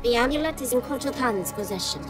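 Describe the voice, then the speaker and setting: A female insect creature acted by a woman, secretive and utterly devoted to her dark master. She speaks formally at a fairly high pitch, with clear music behind her, in a fantasy game style. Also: animated, fast, close to an everyday voice. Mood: devoted, grave. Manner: formal, speaks of self in third person. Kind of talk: dramatic video game acting.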